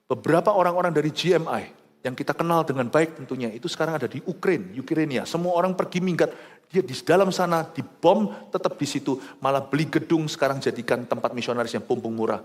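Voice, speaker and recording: Fervent male pastor preaching in a hall. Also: quick pace. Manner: fervent preaching with swings from loud exclamations to low quiet asides; mixes English words into his talk. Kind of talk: sermon. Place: large rooftop church venue. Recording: live hall reverb with faint music.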